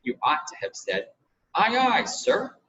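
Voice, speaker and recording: A man's voice: on the high side, wildly swooping pitch, slow, sharp tone, full character voice. A man voicing an earnest, bossy young girl in a storybook, correcting others emphatically. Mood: bossy, emphatic. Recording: muffled home recording with hiss.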